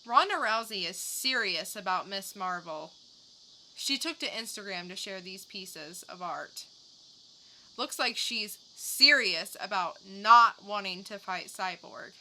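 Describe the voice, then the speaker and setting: A woman's voice: medium pitch, animated, slow, sharp tone, a little hiss or hum. A woman co-hosting a podcast, delivering short news items and remarks with dry, joking timing. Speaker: podcast co-host. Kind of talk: scripted joke news items and casual chat.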